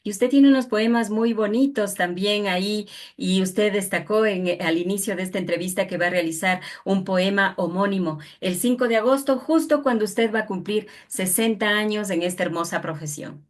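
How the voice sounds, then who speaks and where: A woman's voice: mid-range voice, moderate pace, few pauses. A woman conducting a conversational interview in a courteous, formal manner.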